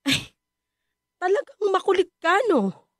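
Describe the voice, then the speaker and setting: A woman acting a high-voiced, bitter Filipino mother. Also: very animated, takes it slowly, no room sound, studio quality, heavily acted. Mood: irritated, harsh. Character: bitter, harsh, rejecting middle-aged Filipino mother. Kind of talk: radio drama acting.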